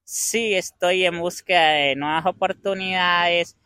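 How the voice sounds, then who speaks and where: A young man with an Andean Venezuelan accent answers questions in a measured, thoughtful way. His voice sits a little high and sounds bright and forward. The recording is dry, with no room echo. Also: steady tone, clean tone. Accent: Venezuelan Andean Spanish. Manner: measured, pauses to find words. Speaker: interviewee. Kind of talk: conversational interview answers.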